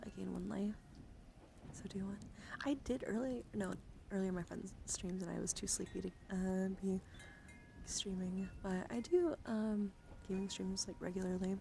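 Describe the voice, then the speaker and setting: A woman live-streaming ASMR, chatting casually and playfully to viewers in a voice that drifts between soft speech and hushed whispering. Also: mid-range voice. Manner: playful, giggly, easily sidetracked. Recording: clearly audible background noise.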